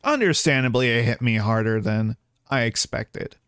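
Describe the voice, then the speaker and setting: A man chatting casually as a game streamer, informal and rambling, often halting mid-thought. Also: medium pitch, lively intonation, fairly bright tone, soft background music. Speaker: video game livestreamer. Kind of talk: casual livestream chat.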